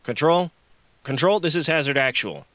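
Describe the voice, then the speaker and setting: A man playing a gruff military squad leader in an audiobook, giving clipped, commanding orders. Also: a little high, moderate pace, a bit bright, dry recording, pitched above the performer's own voice, lightly acted. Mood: stern. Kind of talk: audiobook character acting.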